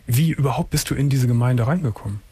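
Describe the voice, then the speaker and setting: A man hosting a late-night radio call-in show, talking in a calm, measured way and gently probing his callers. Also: mid-range voice, slightly rough, no room sound. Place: radio studio.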